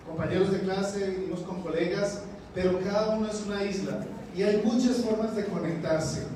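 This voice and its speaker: A man's voice: high-pitched, dark tone, a little hiss or hum. A man giving an encouraging talk to teachers at a conference, addressing the audience directly. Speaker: conference presenter. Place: conference hall. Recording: echoey hall, distant mic.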